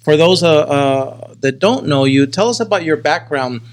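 A man hosts a conversational interview in a voice slightly higher than average. He is casual and somewhat halting, repeating words as he works toward each question. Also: animated. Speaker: podcast host.

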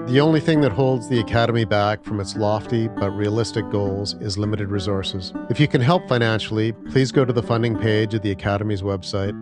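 A man hosting a podcast, introducing his guest and asking interview questions in a friendly, measured way with some hesitations. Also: lowish voice. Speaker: podcast host.

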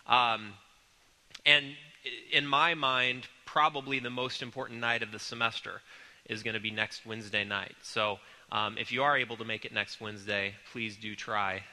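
A man with a cold, sounding congested, teaching a church class in an earnest, halting way with many ums and restarts. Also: mid-range voice, sharp tone, in a large hall, poor audio. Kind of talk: recorded church class lecture.

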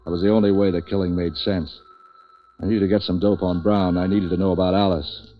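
An actor playing a street-wise, wisecracking hired tough who narrates a 1940s radio detective drama in a clipped, deadpan way. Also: male voice, sits low, brisk, lightly acted. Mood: deadpan, world-weary. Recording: dull vintage 1940s radio recording.

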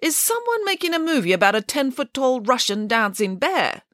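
A woman's voice: mid-range voice, sing-song, moderate pace, fairly bright tone, dry recording, studio quality, voice pushed up. A woman voicing a bossy, proud, theatrical female pig nanny in a children's story, with an imperious, emphatic manner. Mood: suspicious.

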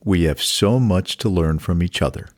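A man's voice: low voice, normal speed, a little mellow. A man hosting a medical podcast, asking his guest questions in a friendly, encouraging way. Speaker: podcast host, doctor. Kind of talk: interview hosting.

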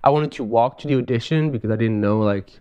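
A man from Switzerland, a guest telling stories in a relaxed, easygoing way on a casual podcast interview. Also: mid-range voice, fast talker, smooth, dull audio. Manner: relaxed, easygoing storytelling with frequent "you know". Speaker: podcast guest, live show dancer.

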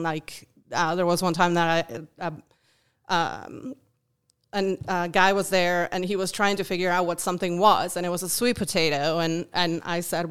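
A woman's voice: on the low side, a bit bright, smooth. A woman from Uruguay whose first language is Spanish, speaking English with a Uruguayan Spanish accent as a podcast guest, thoughtful, with many ums and restarts. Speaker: guest, food bank volunteer. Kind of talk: podcast interview.

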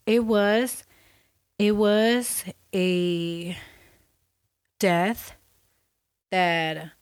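A woman in her thirties hosts her own podcast, her voice a little stuffy from a cold. She talks slowly and thoughtfully, often trailing off and starting a sentence again. Her voice sits on the low side, and the recording is dry and close. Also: no echo. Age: 30s. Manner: unhurried, reflective, trails off and restarts. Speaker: podcast host. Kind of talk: casual storytelling monologue.

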